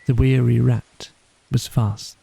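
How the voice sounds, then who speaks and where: A man's voice: mid-range voice, level tone, slow pace, vocal fry, dark tone, husky, dry recording. A man reading a scripted bedtime story as an audiobook narrator.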